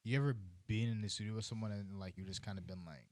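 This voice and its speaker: A man's voice: fairly low pitch, fairly even intonation, quick pace, no room sound. A man hosting a podcast, asking long, loose questions in a casual chat, often checking in with "right?" and "man". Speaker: podcast host.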